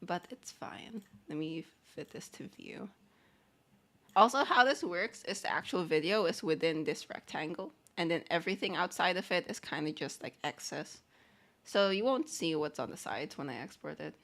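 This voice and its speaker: A woman's voice: on the low side, lively intonation, normal speed, fairly bright tone. A woman livestreaming as she draws, chatting casually in a rambling way and reading out questions from viewers. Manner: rambling, chatty, reads chat questions aloud. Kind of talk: casual livestream chat while drawing.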